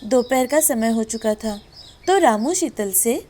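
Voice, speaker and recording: A woman's voice: fairly high pitch, expressive, a little slow, mellow. A woman storyteller reading a scripted moral tale as narrator. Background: background music.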